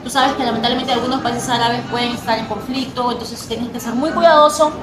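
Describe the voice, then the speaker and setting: A young Peruvian woman with a Peruvian Spanish accent, chatting quickly and animatedly as an interview guest. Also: fairly high pitch, steady tone, roomy sound, music in the background, poor audio. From Peru. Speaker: guest, personal trainer. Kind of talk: casual interview.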